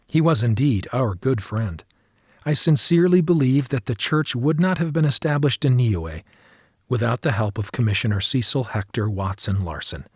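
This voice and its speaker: A man with a slightly husky voice reads a memoir as an audiobook narrator, in a measured, earnest manner. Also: lowish voice, no room sound, clean studio sound.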